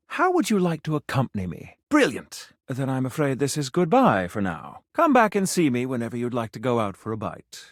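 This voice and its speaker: A man's voice: medium pitch, no echo, studio quality. An actor voicing a pompous, theatrical male food critic for a video game, speaking in a florid, haughty way and savoring each word. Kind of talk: video game character acting.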